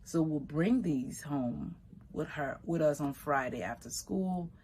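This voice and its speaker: A middle-aged woman from Liberia telling stories about her grandmother in a relaxed, conversational way. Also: low-pitched, measured pace, slight background noise. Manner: relaxed, conversational, shifting pace, Liberian English phrasing. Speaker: vegan food business owner. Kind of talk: personal storytelling.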